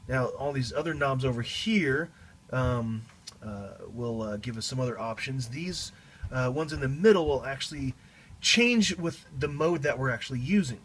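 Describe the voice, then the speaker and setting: A man giving a casual, explanatory demonstration of a guitar effects pedal in a halting way, as a teacher would. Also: medium pitch, expressive, slight background noise. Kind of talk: casual product demo.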